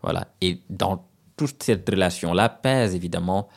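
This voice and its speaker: Deep gravelly-voiced man from Senegal. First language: Serer. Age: 30s. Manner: measured, hesitant with many fillers and restarts. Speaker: guest author. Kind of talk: thoughtful interview answers.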